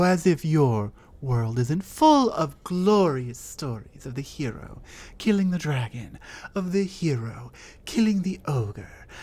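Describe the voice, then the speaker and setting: A woman voicing a territorial, dominant female troll who lives alone in a cave, in an ASMR roleplay, taunting slowly and dropping into whispers. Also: deep voice, dark tone, heavily acted. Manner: slow, taunting, drops to whispers.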